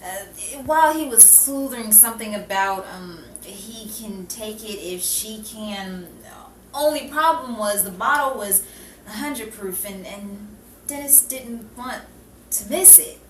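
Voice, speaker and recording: Casting: actress as pleading, excitable 1940s radio comedy wife, bright forceful voice, echoey room. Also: female voice, medium pitch, unhurried. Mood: flustered. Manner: emphatic, flustered. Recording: echoey, distant mic, background noise.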